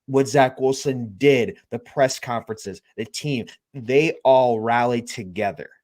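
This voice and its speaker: Measured man co-hosting sports talk. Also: medium pitch, darkish tone. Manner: measured, agreeable, wait-and-see. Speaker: co-host. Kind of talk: live sports talk show.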